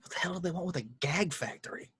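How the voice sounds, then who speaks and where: A man playing a cynical, sarcastic private detective in an amateur table read, gruffly dismissive in his delivery. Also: high voice, fast, pushed and bright, dry recording, studio quality, exaggerated voice. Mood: wary.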